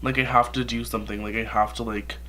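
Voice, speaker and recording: A man's voice: mid-range voice, little pitch movement, fast, sharp tone. Brief: young male makeup vlogger, sick and nasal, casual profane rambling, home camera mic, faint hum behind. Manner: rambling, profane, filler-heavy.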